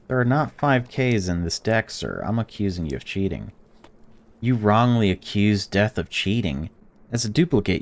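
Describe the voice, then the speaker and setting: A man hosting a gaming channel, reading a visual novel's text aloud while playing and breaking off into excited or indignant reactions. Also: fairly low pitch. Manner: reads game text flatly, then blurts excited or indignant reactions.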